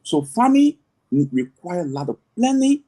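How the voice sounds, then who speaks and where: A man's voice: high-pitched, very animated, moderate pace, mellow. A middle-aged man with a Liberian accent, a farmer and agribusiness owner being interviewed, explaining earnestly at length with frequent restarts. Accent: Liberian English. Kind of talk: conversational interview answer.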